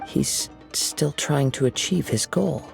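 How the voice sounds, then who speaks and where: A chill young samurai, soft and measured, game music under him. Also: male voice, fairly high pitch, gravelly, full character voice. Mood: calm. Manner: calm, measured and poetic. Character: calm young male wandering samurai. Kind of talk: game voice acting.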